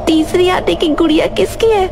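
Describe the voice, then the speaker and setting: A woman's voice: very high voice, moderate pace, gravelly, background noise, pitched above the performer's own voice. A woman voicing an innocent, anxious young girl in a Hindi horror cartoon, with a pleading manner. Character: frightened, innocent young girl, high-voiced. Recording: loud music under the voice.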